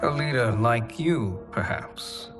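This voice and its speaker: An actor playing a calculating sorcerer villain in a video game, speaking in a measured, conniving manner. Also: male voice, mid-range voice, animated, music in the background. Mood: sly composure. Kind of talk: video game cutscene acting.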